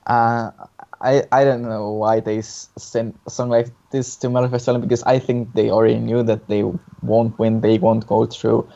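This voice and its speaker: A man's voice: mid-range voice, level tone, normal speed, vocal fry, mellow. A man co-hosting a casual review chat, giving opinions hesitantly and often repeating his words. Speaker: co-host reviewing song contest entries. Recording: dull lo-fi sound.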